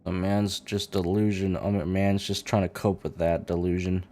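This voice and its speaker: A man's voice: low-pitched, level tone, moderate pace, warm tone. A man streaming a game and reacting to it casually, with slangy, teasing remarks. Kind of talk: casual reaction commentary over gameplay. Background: faint game music.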